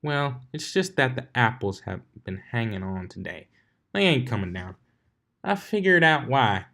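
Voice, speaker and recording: A man's voice: medium pitch, sing-song, measured pace, bright, forceful tone, heavily acted. Man as a folksy female farm pony. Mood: friendly, puzzled. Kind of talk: fan fiction character reading.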